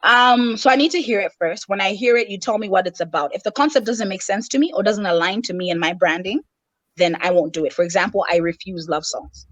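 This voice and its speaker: A woman's voice: medium pitch, brisk, a bit bright, dry recording. Confident Malawi-raised woman rapper, candid guest chat, dry recording. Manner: candid, self-assured, talks in long flowing runs. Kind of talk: casual interview chat.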